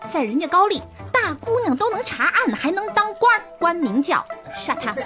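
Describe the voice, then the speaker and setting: Very high-pitched, melodramatic young maiden. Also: female voice, sing-song, moderate pace, over music. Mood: indignant. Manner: theatrical, outspoken. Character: melodramatic, cheeky young maiden pleading her case. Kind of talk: comedic radio drama acting.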